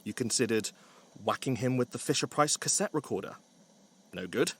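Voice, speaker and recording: A man's voice: medium pitch, expressive, normal speed, slightly rough. A man narrating a scripted story with wry, dry humour.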